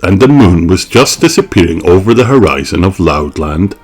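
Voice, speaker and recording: A man's voice: low-pitched, sing-song, moderate pace, faint music behind. A man reading a children's storybook aloud expressively, with theatrical emphasis on key words. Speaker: storybook reader.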